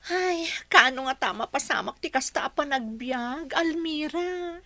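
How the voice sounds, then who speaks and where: A playful, dreamy young woman voiced by a woman in an Ilocano comedy radio play. The voice is fairly high and bright, recorded close and dry, with expressive, drawn-out teasing. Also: no room sound, heavily acted. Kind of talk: comedy radio drama acting.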